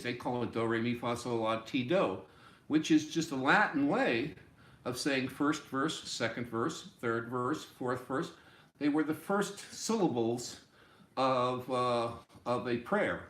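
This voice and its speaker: A man in his sixties giving an informal music lesson, explaining deliberately and step by step in a small room. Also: mid-range voice, a bit bright. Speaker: music teacher. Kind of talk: informal instructional explanation at a whiteboard. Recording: roomy, echoey home room sound.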